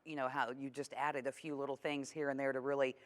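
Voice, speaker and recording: An American woman with a low voice hosts a TV program. She speaks casually and conversationally, putting questions to a guest in a studio interview. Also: flat delivery, fast talker. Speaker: TV show host.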